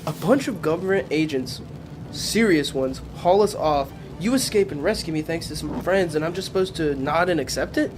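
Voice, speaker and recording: A man's voice: a little high, lively intonation, normal speed, over music, natural voice. A man playing an anxious, inquisitive young man with an electronic body in an audio drama, with a wry, questioning manner. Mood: agitated, suspicious. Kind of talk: audio drama dialogue and reflective first-person narration.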